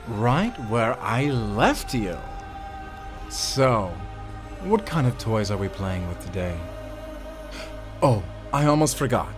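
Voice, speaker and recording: An actor playing a cruel, gloating prison warden who taunts theatrically in an audio drama. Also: male voice, medium pitch. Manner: mocking, theatrical, drawling.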